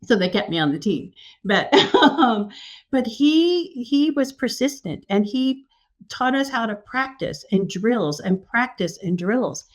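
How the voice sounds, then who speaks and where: A woman from New York City, an executive coach, telling stories as a podcast guest in an animated, enthusiastic way. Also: on the high side, slow pace. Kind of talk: casual interview.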